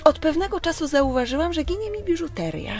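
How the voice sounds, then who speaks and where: A woman's voice: sits high, sing-song, a little fast, a little mellow, soft background music. A woman playing an affected lady in a children's audio drama, hesitant and sighing dramatically. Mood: plaintive.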